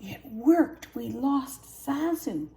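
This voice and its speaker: A woman's voice: high-pitched, animated, very slow, creaky, mellow, voice pushed up, full character voice. A woman voicing an eager, boastful young male lion cub in a storybook, full of childlike bravado. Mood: excited.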